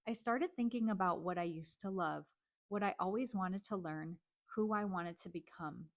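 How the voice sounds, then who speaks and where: A woman's voice: on the low side, moderate pace. Earnest woman in her forties hosting. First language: Chinese. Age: 40s. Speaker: host. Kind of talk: reflective personal monologue.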